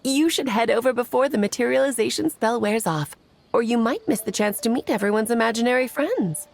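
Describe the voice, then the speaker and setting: A woman's voice: a little high, fairly quick, vocal fry, background noise. An actress playing a playful, theatrical grown witch in a video game, eloquent, airy and teasing. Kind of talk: video game cutscene acting.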